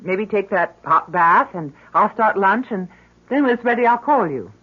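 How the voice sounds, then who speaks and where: Through a dull, lo-fi radio recording comes a middle-aged mother in a radio drama. Her high voice is slightly husky and swings expressively as she fusses, chats and worries lovingly over her grown daughter. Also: lively intonation, normal speed. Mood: bright, fussing. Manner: chatty, solicitous. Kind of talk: old-time radio drama acting.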